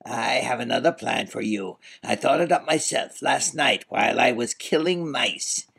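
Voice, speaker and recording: A man voicing a cold, sneering old duke who taunts slowly and menacingly in an audiobook. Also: mid-range voice, animated, gravelly, a bit bright, breathy edge, exaggerated voice. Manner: slow, menacing, mocking. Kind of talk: audiobook character voice.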